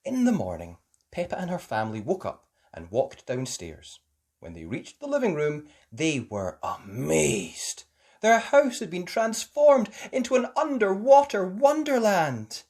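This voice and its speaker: A woman reading a children's picture book aloud in a lively, sing-song storytelling way. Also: on the low side, a little slow. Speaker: storybook reader.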